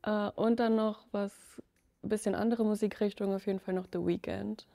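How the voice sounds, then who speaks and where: A woman's voice: mid-range voice, steady tone, normal speed, creaky, warm tone. A young woman of nineteen answering hesitantly, with many fillers. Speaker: contestant. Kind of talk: casual chat.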